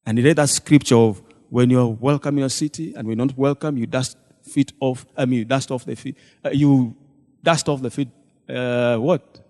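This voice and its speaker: A man speaks to a church from the front, retelling a meeting and slipping into the voices of the people in it. His voice is mellow and mid-pitched. A long reverb trails each phrase through the big hall. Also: steady tone, moderate pace. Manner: storytelling, acting out quoted dialogue. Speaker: deacon addressing a church congregation.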